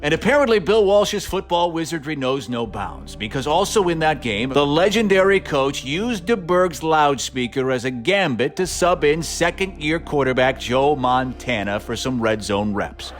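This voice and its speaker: A man's voice: on the high side, lively intonation, moderate pace, few pauses. A man delivering scripted, humorous documentary narration with playful, mock-dramatic emphasis, like a podcast host. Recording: music bed under narration. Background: music.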